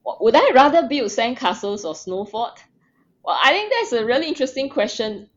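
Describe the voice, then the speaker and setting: A woman's voice: fairly high pitch, big pitch swings, moderate pace, lo-fi sound. A woman from Singapore, a podcast guest talking earnestly with many fillers and restarts. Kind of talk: casual interview.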